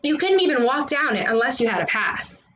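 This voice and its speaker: A woman's voice: high voice, sing-song, brisk, a bit bright, slight background noise, rough recording. A woman reading a children's storybook aloud, narrating expressively in the words of a school kid. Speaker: children's read-aloud host.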